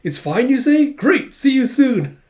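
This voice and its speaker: A man voicing an excitable, lovestruck and haughty rich little girl in a gushing, dramatic way for a comic read-aloud. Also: extremely high pitch, unhurried, pitched above the performer's own voice, heavily acted. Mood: excited, loud.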